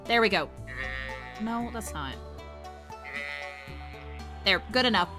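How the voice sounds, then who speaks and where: A woman's voice: mid-range voice, lively intonation, slow pace, over music. A woman streamer whose first language is Vietnamese, chatting casually while she plays, rambling, swearing and putting herself down. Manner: profane, rambling, self-deprecating. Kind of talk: casual gaming stream chat.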